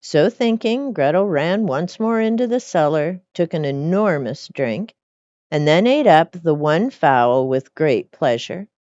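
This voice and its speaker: Female storyteller, low register, animated storybook delivery, dry lo-fi sound. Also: unhurried, no room sound. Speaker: storyteller reading fairy tales. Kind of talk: expressive storybook reading with casual asides.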